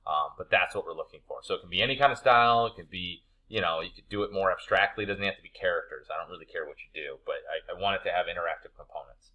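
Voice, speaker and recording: A man's voice: low voice, fast talker, hardly any pauses, lo-fi sound. A man teaching a class, talking through an assignment informally in an easygoing, colloquial way. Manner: easygoing, colloquial, laid-back phrasing. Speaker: instructor of an animation class. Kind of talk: informal spoken assignment walkthrough.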